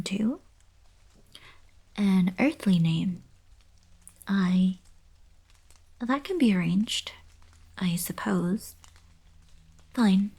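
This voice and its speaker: Young alien princess for ASMR roleplay, played by a woman: caring, regal, easily flustered, breathy half-whisper with a slow delivery and slight creak. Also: mid-range voice, heavily acted. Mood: flustered, playful. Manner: soft-spoken, breathy, half-whispered, hesitant. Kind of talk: intimate girlfriend ASMR roleplay acting.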